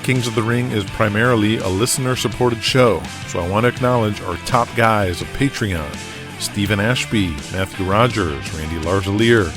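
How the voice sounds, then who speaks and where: A man's voice: lowish voice, normal speed. A man narrating a scripted audio drama as its announcer, in a dramatic storytelling style. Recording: music bed behind voice. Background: guitar music.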